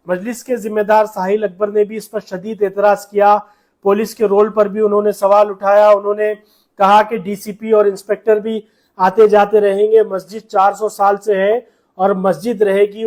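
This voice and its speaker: A man's voice: high voice, darkish tone. A man with a Hyderabadi Urdu accent narrating a news report with commentary.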